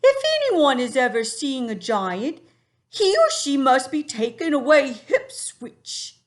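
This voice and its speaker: A woman booming as a big friendly giant. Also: sing-song, slow pace, heavily acted. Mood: pleading. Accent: put-on funny English. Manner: booming, mangled grammar. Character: huge kindly male giant, garbled words.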